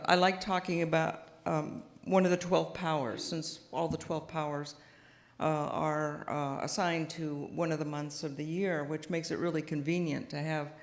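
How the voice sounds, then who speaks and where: A soft-spoken woman minister giving a gentle, thoughtful sermon, pausing often with small fillers, speaking into a microphone in a church sanctuary. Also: low-pitched. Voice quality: quiet voice. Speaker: guest minister. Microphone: house sound system microphone. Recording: long hall reverb.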